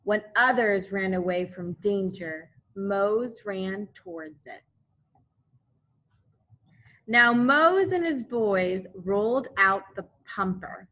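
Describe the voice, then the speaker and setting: A woman teacher reading a children's storybook aloud expressively and deliberately, pausing to explain things to young listeners. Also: mid-range voice, a bit bright, low-quality recording. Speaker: elementary school teacher.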